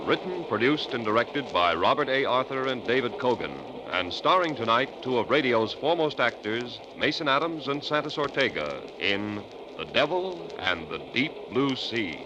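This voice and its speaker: A man's voice: medium pitch, lively intonation, normal speed, hardly any pauses. A man announcing formally and declamatorily in the style of a 1940s radio broadcast. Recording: dull, noisy vintage radio broadcast.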